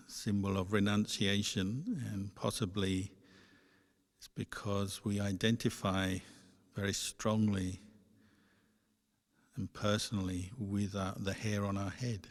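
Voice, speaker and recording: Slow, even-toned man in an echoey hall. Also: on the low side. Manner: measured, gentle instructing with pauses. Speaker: Buddhist monk leading guided meditation. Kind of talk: guided meditation instruction. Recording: echoey hall with long reverb.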